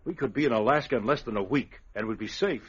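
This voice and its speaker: A cautious gangster's sidekick in a radio adventure. His voice is rough and mid-range, uneasy in tone. The vintage recording is dull. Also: mid-range voice, fast, a bit bright. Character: cautious, uneasy male outlaw henchman. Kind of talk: old-time radio adventure acting.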